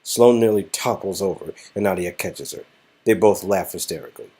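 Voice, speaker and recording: A man's voice: sits low, fairly quick, warm tone, husky. A man casually narrating an interactive story game and chatting in asides with his co-reader.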